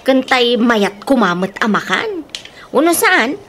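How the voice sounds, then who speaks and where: A woman's voice: on the high side, big pitch swings, measured pace, a bit bright, slight background noise. A woman playing a respectful but plaintive female farm labourer in a radio comedy drama. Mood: grumbling.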